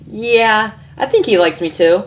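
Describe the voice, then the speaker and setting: A woman voicing a brash but awkward young tomboy pony in a storybook reading, blunt, slangy and halting. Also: mid-range voice, animated, pitched above the performer's own voice, lightly acted. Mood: hesitant.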